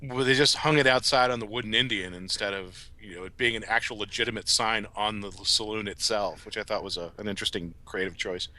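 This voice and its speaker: A man's voice: on the low side, fairly quick, few pauses, pushed and bright, no room sound. A man talking in a casual review chat, with a wry, conversational manner. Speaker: podcast guest reviewer.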